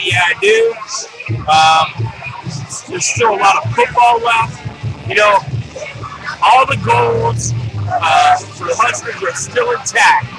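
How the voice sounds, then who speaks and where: A man's voice: extremely high pitch, low-quality recording. A man hosting a casual post-game sports commentary, talking bluntly and colloquially like a fan. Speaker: sports show host.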